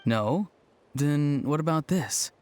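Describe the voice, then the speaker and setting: A man playing an easygoing young villager who tells stories in a relaxed, dryly amused way. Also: fairly high pitch, slow pace, slight background noise.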